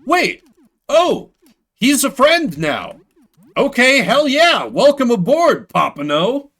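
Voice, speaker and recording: A man doing live game commentary, excitable and casual, swearing freely and reading game text aloud. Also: extremely high pitch, slow pace. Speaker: game streamer.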